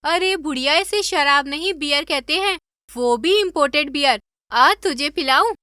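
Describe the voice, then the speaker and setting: A woman voicing a brash, cheeky rich young wife, blunt and sassy, in an animated moral story. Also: high voice, normal speed, no echo, clean studio sound. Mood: tipsy, defiant.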